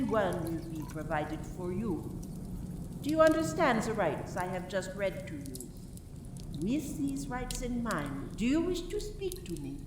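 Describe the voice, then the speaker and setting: Echoey distant recording, woman as French lady police inspector, formal, firm, measured slow delivery, radio play. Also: mid-range voice. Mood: stern. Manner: deliberate, formal questioning, peppered with French phrases. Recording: echoey room from far off, faint noise.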